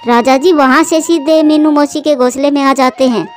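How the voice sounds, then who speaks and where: A woman's voice: high-pitched, fairly even intonation, normal speed. A woman narrating a scripted children's story as storyteller. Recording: music behind the voice.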